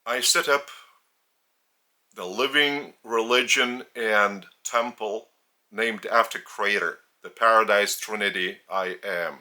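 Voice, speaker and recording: A man with a Lithuanian accent, a native Lithuanian speaker, giving a spiritual teaching in a deliberate, halting way with emphasis on key words. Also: fairly low pitch, bright, forceful tone. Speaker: spiritual teacher and religious leader. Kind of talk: spiritual sermon addressed to camera. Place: indoors.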